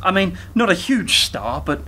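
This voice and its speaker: An actor playing an eager, naive younger techie in a radio play, rambling and over-explaining earnestly. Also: male voice, sits high, big pitch swings, a little slow, pushed and bright, slight background noise, exaggerated voice. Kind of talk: community radio play dialogue.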